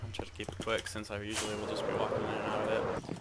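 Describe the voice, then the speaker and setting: Monotone low-voiced man touring his game build. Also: fast, heavy background noise. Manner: monotone, hesitant with many ums. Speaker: gaming video creator. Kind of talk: casual game walkthrough.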